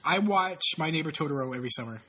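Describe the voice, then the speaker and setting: A man's voice: on the high side, lively intonation, fast, pushed and bright, breathy edge. A man in his late twenties with a stuffy, sniffly nose, chatting casually in a rambling, profane, self-mocking way. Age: late 20s. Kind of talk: casual chat.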